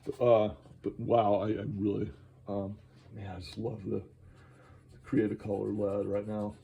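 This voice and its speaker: A man talking casually while drawing, halting and enthusiastic, with many fillers and restarts, moving around his studio. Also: lowish voice, flat delivery, creaky, mellow, husky. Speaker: artist vlogging while drawing. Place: indoor studio, moves around the room.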